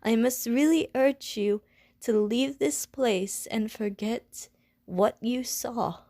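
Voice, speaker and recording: A woman playing a gentle, anxious, lonely Medusa who is wary of humans, speaking in careful, formal wording for an ASMR audio roleplay. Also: a little high, takes it slowly, no echo, clean studio sound. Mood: wary warning. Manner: formal, careful, stilted wording, pleading and apologetic.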